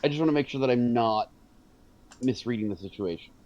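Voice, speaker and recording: A man at an ordinary middle pitch talks through his turn in a tabletop game. He hesitates, restarts his sentences and apologizes when he gets the rules wrong. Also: fairly quick, darkish tone, dull audio. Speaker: player. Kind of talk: casual tabletop game chat.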